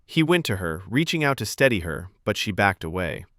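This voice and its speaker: A man reading scripted fiction as a podcast narrator, with expressive storytelling delivery. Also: fairly low pitch, brisk, dry recording, studio quality.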